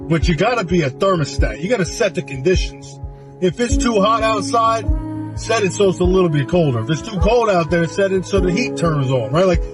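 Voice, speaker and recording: A man giving a motivational speech in punchy, repeated phrases. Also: high voice. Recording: speech clip over music.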